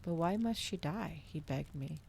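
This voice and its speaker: A woman with a notably low voice reads fiction in a cozy ASMR style. Her delivery is soft, unhurried and dramatic, with plenty of gravelly fry at the edges. A faint noise sits behind the recording. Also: lively intonation. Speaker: streamer reading a novel aloud. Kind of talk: cozy ASMR storybook narration.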